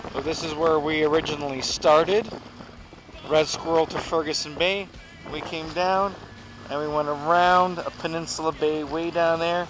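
A middle-aged man narrating a canoe trip vlog outdoors, talking in a relaxed, rambling way with many fillers, recorded on an action camera. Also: a little high. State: walking while carrying a pack. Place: outdoors on a windy lakeshore and forest trail. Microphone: action camera held or worn. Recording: wind noise on action camera.

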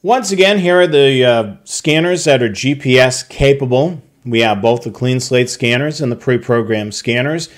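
A man's voice: medium pitch, normal speed, few pauses. A man narrating a slide tutorial, explaining a technical process step by step with occasional fillers. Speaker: product tutorial presenter.

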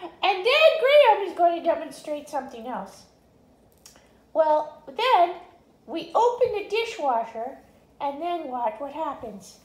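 Woman doing a very high, childlike pet dog hosting a home vlog; rambling and cheerful, echoey kitchen. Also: big pitch swings, a little slow, darkish tone. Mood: chatty. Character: chatty young female pet dog, cheerful, food-loving beggar. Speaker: pretend news host.

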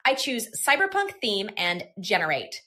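A woman presenting software in a scripted tutorial narration. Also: medium pitch, very animated, moderate pace, heavy background noise.

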